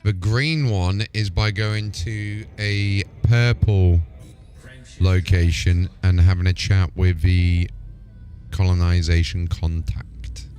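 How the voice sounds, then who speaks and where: A man's voice: low voice, fairly even intonation, slow, darkish tone, faint music behind. A man giving casual, chatty live commentary as he plays a game on stream. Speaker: streamer and gamer.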